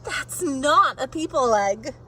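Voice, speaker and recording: A woman voicing a skeptical little girl in a children's storybook, with emphatic, drawn-out delivery. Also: high-pitched, expressive, bright, forceful tone, slight background noise, heavily acted. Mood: indignant, emphatic. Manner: emphatic, declarative, drawn-out objections. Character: skeptical, observant little girl inspecting her baby sibling.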